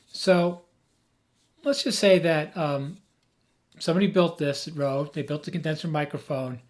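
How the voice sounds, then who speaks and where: A man who has lost his voice, hoarse, giving an emphatic, rambling off-the-cuff tech explanation as a video host. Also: a little high, fairly even intonation, measured pace. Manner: emphatic, rambling, with frequent restarts.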